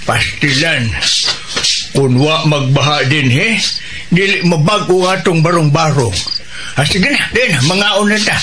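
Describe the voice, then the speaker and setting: A man playing a wary, poor father in a radio drama, halting and stammering, with a Cebuano accent. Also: on the high side, noisy background. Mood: musing. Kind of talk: Filipino radio drama acting.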